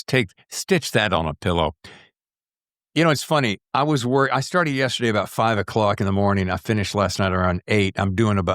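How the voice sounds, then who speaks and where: A man's voice: deep voice, a bit bright, no echo, studio quality. A man hosting a casual podcast interview, rambling chattily and acting out his own thoughts as he talks. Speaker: podcast host.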